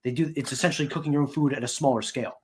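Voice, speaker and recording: Fast-talking man, casual podcast co-host. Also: medium pitch, steady tone, breathy edge. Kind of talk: casual chat.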